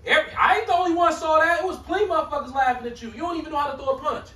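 High-voiced guy talking trash, laughing a lot, echoey room, mic far off. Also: rapid-fire, pushed and bright. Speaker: church elder. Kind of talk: heated group talk.

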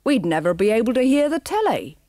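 A woman's voice: a little high, very animated, brisk, warm tone, no room sound, clean studio sound, pitched above the performer's own voice. A woman voicing a dim, sulky brute. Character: heavy dim brutish man, sulky.

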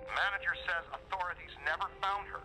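A man's voice: sits high, fairly even intonation, fast talker, noisy background, lightly acted. An actor playing a friendly male ghost-hunting colleague in a video game, talking in an informal, chatty way. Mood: informative. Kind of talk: animated video game acting.

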